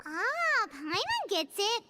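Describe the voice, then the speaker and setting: A woman voicing a tiny, childlike female fairy companion with a squeaky, eager voice in a video game. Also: very animated, slow pace, very noisy, heavily acted. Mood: eager, curious. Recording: music behind the voice.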